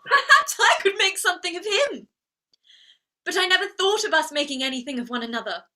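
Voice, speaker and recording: Proud young flower girl played by an actress, stage drama, wounded yet defiant, high voice with very lively swings. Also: a little fast, exaggerated voice. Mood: earnest, defensive. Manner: defiant, plaintive, emotionally outspoken. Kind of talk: theatrical staged play reading.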